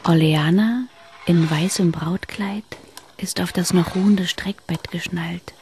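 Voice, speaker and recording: A woman narrating a literary radio play in a hushed, whispery, deliberate manner. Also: on the low side, fairly even intonation.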